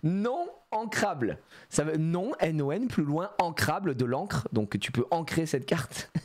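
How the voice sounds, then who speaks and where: A man's voice: a little high, measured pace. A man chatting casually on a live stream as he opens trading card packs, joking around and laughing often. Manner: animated and jokey, laughs often, plays on words.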